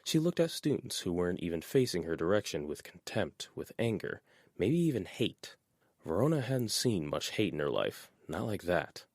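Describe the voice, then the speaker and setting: A man narrating a fan audiobook chapter in an expressive, measured reading style. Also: deep voice, no room sound, clean studio sound. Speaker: audiobook reader.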